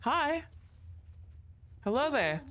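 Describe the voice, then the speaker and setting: A woman's voice: high voice, big pitch swings, very slow, a bit bright. A woman streamer giving casual, teasing commentary as she plays, chatting to the game and laughing often.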